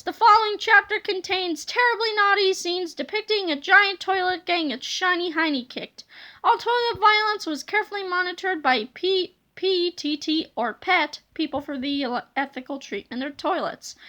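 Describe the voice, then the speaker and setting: A woman narrating a children's book aloud in an expressive, dramatic way. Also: very high-pitched.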